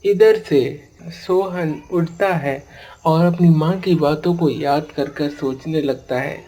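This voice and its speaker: Slow-paced woman storyteller. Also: deep voice, warm tone, rough recording. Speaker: narrator. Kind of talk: cartoon storytelling narration.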